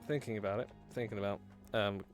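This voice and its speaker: A man's voice: on the low side, fairly even intonation, fast, faint music behind. A young man streaming a horror game, reading its text aloud and bantering with chat, swearing and laughing as he goes, on a headset mic in a small room.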